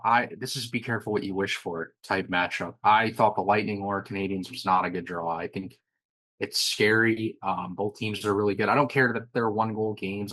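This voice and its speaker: A man's voice: on the low side, fairly bright tone, no echo, rough recording. A man co-hosting a sports podcast, speaking plainly and matter-of-factly. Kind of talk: casual sports chat.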